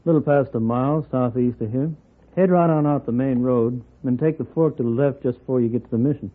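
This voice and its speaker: A man acts as a folksy frontier stage clerk in an old radio western. His American voice is medium-pitched, plain and helpful. The sound is narrow and muffled. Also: fairly quick, natural voice. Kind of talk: 1950s radio western acting.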